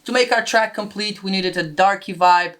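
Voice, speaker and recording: A man's voice: high voice, moderate pace, a bit bright. Man, music producer, production walkthrough, explanatory talk.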